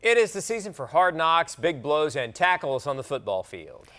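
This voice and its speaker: A man's voice: on the high side, very animated, normal speed, a bit bright. An American man anchoring television news with a scripted delivery.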